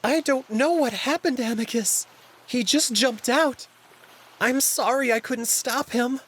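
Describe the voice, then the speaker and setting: A man performs a timid young furry alien male for a visual novel. The voice is high and husky, with slow, flustered, pleading delivery, anxious and deferential. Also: a bit bright, exaggerated voice. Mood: apologetic, anxious. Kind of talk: visual novel character voice acting.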